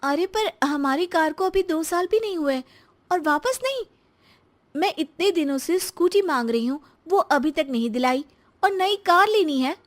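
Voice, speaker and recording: A woman's voice: very high-pitched, lively intonation, normal speed, pitched above the performer's own voice. A woman voicing a fed-up, thrifty young wife in a cartoon story, complaining and insistent.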